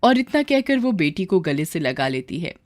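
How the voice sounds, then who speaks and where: A woman's voice: on the low side, sing-song, normal speed, no echo, clean studio sound. A woman storyteller giving scripted narration of a story.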